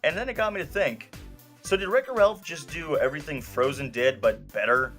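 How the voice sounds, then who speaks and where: A man's voice: a little high, animated, a little fast, a bit bright. Guy reviewing a movie, wry and emphatic, voice goes up and down a lot, bit of music under him. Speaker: film reviewer, show host. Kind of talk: scripted video review narration.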